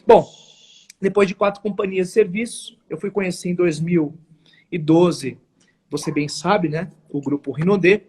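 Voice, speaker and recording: Earnest paulistano man, husky and high. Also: normal speed, a little mellow. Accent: São Paulo Portuguese. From São Paulo, Brazil. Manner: earnest and emphatic, frequent restarts and formal phrasing. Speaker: guest, network marketing entrepreneur. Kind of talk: live interview storytelling.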